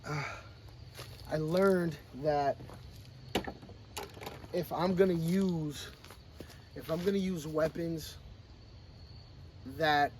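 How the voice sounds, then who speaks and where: A man who is sick and hoarse, talking casually and bluntly to camera outdoors at a shooting range about training. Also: on the high side, a little mellow, slight background noise. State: sick, losing his voice. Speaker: firearms training vlogger.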